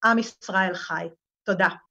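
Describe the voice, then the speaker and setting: A woman giving a slow, solemn festive greeting in measured phrases from a living room over a webcam. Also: mid-range voice, vocal fry, pushed and bright. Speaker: speaker at a celebration event. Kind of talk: formal greeting speech. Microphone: webcam on a video call. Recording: slightly dull lo-fi sound.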